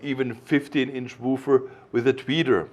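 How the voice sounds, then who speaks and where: A man explaining technical matters informally as a product designer, in a didactic, emphatic way with halting phrasing. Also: medium pitch, steady tone.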